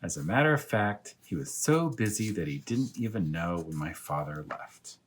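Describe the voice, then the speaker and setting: A man reading a children's story aloud as a teacher of young pupils, in animated narration. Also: sits low, normal speed, noisy background.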